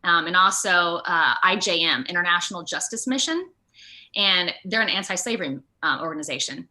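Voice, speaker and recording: An American woman from Ohio talking casually as an interview guest, earnest and animated, rambling from point to point. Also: fairly low pitch, a little fast, rough recording. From Ohio, United States. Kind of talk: casual online interview chat.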